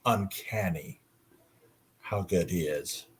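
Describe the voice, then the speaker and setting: A man moderating a casual panel discussion, often pausing to search for his words. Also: sits low.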